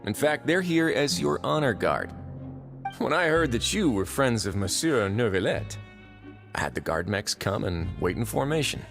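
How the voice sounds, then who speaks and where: An actor playing a calm, courteous young duke who runs a prison, speaking with polished, gently wry politeness in video game dialogue. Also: male voice, on the low side, normal speed, creaky, faint music behind, full character voice.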